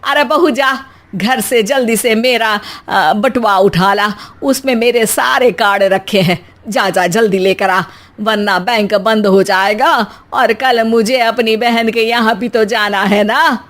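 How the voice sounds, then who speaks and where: Woman voicing an exasperated mother-in-law, slow and high. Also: fairly bright tone. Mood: bossy, hurried. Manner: scolding, teasing, colloquial. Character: exasperated, sarcastic older Indian mother-in-law. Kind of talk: acted Hindi comic story dialogue.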